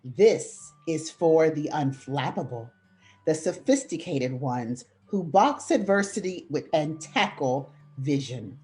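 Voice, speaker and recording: A woman reading a picture book aloud as a guest reader, with measured, deliberate phrasing. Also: low-pitched, animated, darkish tone. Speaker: school principal, guest reader. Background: background music.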